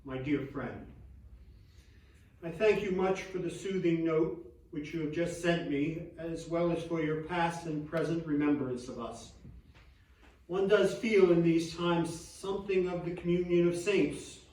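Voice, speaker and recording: A man's voice: a little high, fairly even intonation, measured pace, darkish tone, close to an everyday voice. An actor playing a grieving, devout and humble Victorian clergyman in a theatrical reading of letters. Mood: grieving, grateful. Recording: distant echoey hall stage recording.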